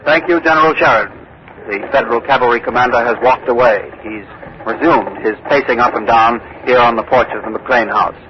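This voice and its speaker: An actor playing an earnest live news correspondent in the style of 1940s radio drama, measured and dramatic with weighty pauses. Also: male voice, mid-range voice, natural voice. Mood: solemn, hushed. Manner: measured, dramatic, hushed pauses between phrases. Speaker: reporter. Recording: noisy, muffled old radio broadcast.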